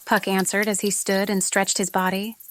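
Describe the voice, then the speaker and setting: A woman's voice: mid-range voice, flat delivery, moderate pace, fairly bright tone. A woman narrating a fantasy story from a script, as an audiobook reader. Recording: noticeable background noise with clicks, insect-like sounds.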